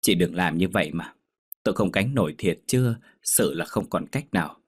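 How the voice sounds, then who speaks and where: A man's voice: sits low, expressive, normal speed, husky. A man voicing a weary village healer who speaks in a subdued, regretful way. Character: weary middle-aged village healer, apologetic.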